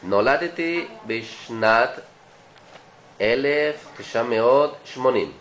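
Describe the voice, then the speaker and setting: A man models vocabulary for learners in a medium-pitched voice. He pronounces short phrases deliberately, sometimes pausing mid-phrase, over faint background noise. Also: lively intonation, fairly bright tone, reverberant, rough recording. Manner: deliberate, with hesitant pauses inside phrases. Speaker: language teacher.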